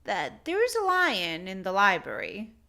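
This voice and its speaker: A woman's voice: medium pitch, huge pitch swings, unhurried, creaky, pushed and bright, exaggerated voice. A woman voicing a fussy, rule-bound male library assistant in a children's storybook, officious and given to exclaiming. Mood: pleased.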